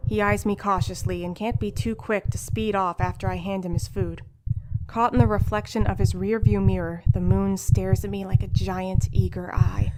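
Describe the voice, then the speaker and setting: An actress playing a weary, defiant fast-food worker turning into a werewolf, narrating a horror audio drama with brooding intensity. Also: female voice, medium pitch, level tone, natural voice, rough recording. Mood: weary, tense. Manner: intense, brooding, literary storytelling. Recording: loud music bed behind voice.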